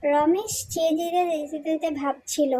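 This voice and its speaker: Woman narrator, extremely high voice, scripted children's cartoon tale delivery. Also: fairly even intonation, moderate pace, mellow.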